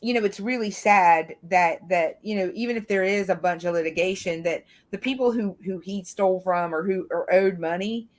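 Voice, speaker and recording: A woman's voice: medium pitch, moderate pace, few pauses. Woman from the American South, rambling talker. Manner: rambling, frequent "you know". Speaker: legal commentator on a panel. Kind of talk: casual true-crime discussion.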